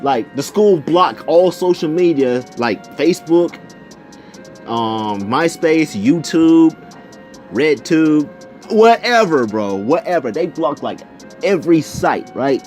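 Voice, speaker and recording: A young man chatting casually in a slangy storytime style, often turning to address his listeners directly. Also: on the high side. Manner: slangy, animated, conversational asides to listeners. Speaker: YouTube storyteller.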